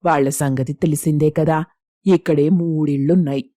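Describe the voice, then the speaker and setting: A woman's voice: deep voice, steady tone, moderate pace, mellow, dry recording, clean studio sound. A woman playing a proud, coaxing middle-aged village mother in a moral story, speaking emphatically. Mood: proud, delighted. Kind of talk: Telugu moral story dialogue.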